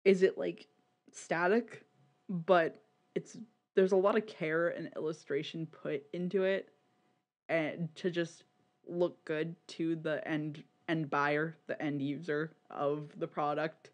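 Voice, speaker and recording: A podcast co-host chatting casually about media in a rambling, halting, sweary way, often repeating words. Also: mid-range voice, fairly even intonation. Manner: informal, sweary.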